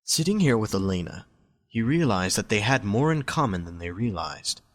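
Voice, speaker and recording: A man's voice: lowish voice, very animated, moderate pace. A man reading scripted story narration as the narrator of an amateur audio drama.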